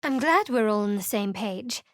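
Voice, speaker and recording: A woman's voice: mid-range voice, lively intonation, moderate pace, fairly bright tone, dry recording, lightly acted. A woman playing a guarded, sardonic young female cleric in a fantasy video game, speaking in a cool, dry, clipped way. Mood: wry, wary.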